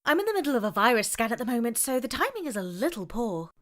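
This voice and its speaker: A woman's voice: fairly high pitch, sing-song, fast, a bit bright. A British woman playing a flighty, blasé cousin in an audio comedy, chatty and offhand. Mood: blasé, gossipy. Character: flighty, blasé, gossipy female cousin being interviewed. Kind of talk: audio comedy sketch acting.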